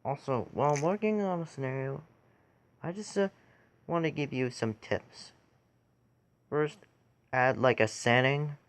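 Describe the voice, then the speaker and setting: Man, casual tutorial narration, slow pace, halting short phrases, medium pitch. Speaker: tutorial video creator. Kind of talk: casual screen-recorded how-to tutorial.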